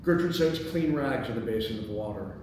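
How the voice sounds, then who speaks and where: A man with a medium-pitched voice hosts and narrates a script table read. He is picked up from a distance in a very echoey indoor space with noticeable noise. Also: expressive, a little fast. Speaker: host and stage-direction reader. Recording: very echoey room, distant mic, background noise.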